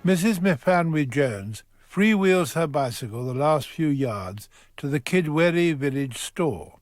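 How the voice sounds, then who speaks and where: A man's voice: on the high side, animated, a little slow, faint music behind. A man narrating a scripted radio drama as a wry storyteller.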